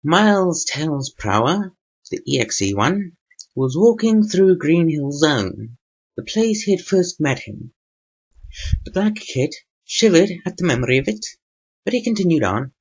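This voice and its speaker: A man's voice: a little high, big pitch swings, a little slow, breathy edge, lo-fi sound, voice pushed up, exaggerated voice. A man putting on a narrator voice to read a cartoon adventure tale aloud. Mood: dramatic narration. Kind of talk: scripted narration in a put-on voice.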